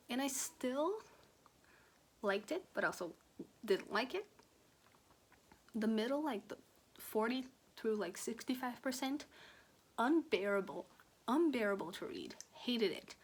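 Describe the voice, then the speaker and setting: A young Brazilian woman with a fairly high voice talks through her month of reading in a candid, animated way. Her Brazilian Portuguese accent colors her English, and she swears casually as she chats. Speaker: book vlogger. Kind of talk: casual book review chat.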